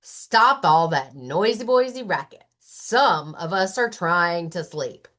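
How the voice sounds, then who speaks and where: A woman's voice: on the low side, animated, slow, fairly bright tone, a little hiss or hum. A woman voicing a sleepy, grumbling but good-natured male lion in a children's story, weary and matter-of-fact. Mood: grumpy.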